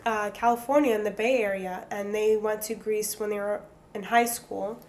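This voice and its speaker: A woman's voice: mid-range voice, fairly even intonation, moderate pace, a little mellow. A young Greek woman, chatting as an interview guest and dropping in Greek words. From United States. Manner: chatty, laughs often, mixes in Greek words. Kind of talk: casual interview chat.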